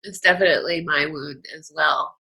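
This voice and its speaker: A tearful woman with a bright, fairly low voice, speaking haltingly in a casual chat. She searches for words and fills pauses often. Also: steady tone, creaky, breathy edge. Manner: hesitant, halting, with many fillers.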